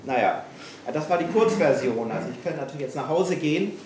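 A man's voice: a little high, expressive, fairly quick, darkish tone. A middle-aged man from Hamburg, speaking frankly and with self-mockery to a live audience, full of hesitations. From Hamburg, Germany. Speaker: speaker at a failure-stories evening. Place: small event room with audience. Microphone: room PA, recorded from a distance. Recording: echoey room, distant mic, faint noise.

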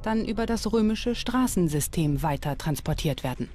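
A woman's voice: lowish voice, animated, moderate pace, noisy background. A woman reading scripted documentary narration as the narrator. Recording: music behind the voice. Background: background music.

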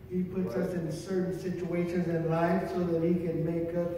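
A man's voice: on the high side, monotone, mellow. An older man preaching a sermon as pastor, stretching and repeating phrases for emphasis in a church. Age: older, 60s or 70s. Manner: deliberate, drawn-out phrases with repetition for emphasis. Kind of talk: church sermon with congregational call and response. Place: large church sanctuary, at a pulpit. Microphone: distant camera. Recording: echoey church hall from far off, faint noise.

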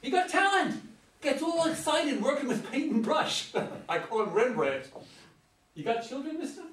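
A man's voice: very high voice, big pitch swings, normal speed, a bit bright, exaggerated voice. An older man reading the part of a talkative, folksy old ex-convict hitchhiker in a staged script reading. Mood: proud, chatty. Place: meeting room with long table, indoors. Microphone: far camera. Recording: roomy, distant mic, some ambient noise.

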